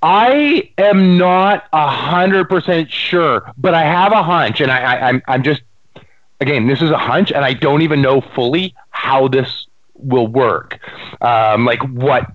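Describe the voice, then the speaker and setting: A man's voice: medium pitch, measured pace, no room sound, highs cut off. A man co-hosting a casual talk show while stuffy from a cold, rambling and searching for words. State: stuffy, getting over a cold. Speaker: podcast co-host. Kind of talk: casual chat.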